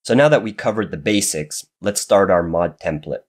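Man, very low voice, nasal and raspy from a cold, methodical tutorial narration. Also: expressive, normal speed. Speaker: coding tutorial host. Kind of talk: screen-recorded programming tutorial narration.

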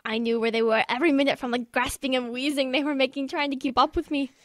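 A woman playing an excitable, curious young boy in a radio drama. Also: high voice, fast, no echo, studio quality. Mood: adventurous, boastful.

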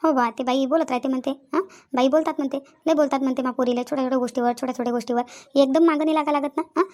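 A woman's voice: sits high, fairly even intonation, very fast, darkish tone, lightly acted. A woman playing insistent, talkative village women in a comedy cartoon, with a rural Vidarbha Maharashtrian accent and a habit of repeating phrases. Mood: placating. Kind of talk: Marathi comedy cartoon dialogue.

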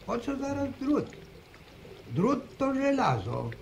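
Older superstitious village grandfather, gravelly and slow, noisy vintage radio-play recording. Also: extremely high pitch, sing-song, full character voice. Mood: stubborn. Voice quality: gravelly, halting restarts. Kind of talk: 1950s radio drama acting.

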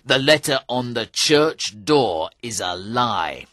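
A man voicing a greedy, scheming middle-aged knight who talks in a sly, booming way. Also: big pitch swings, takes it slowly, a bit bright, dry recording, studio quality. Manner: sly, booming, laughs at others. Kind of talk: audiobook character voice.

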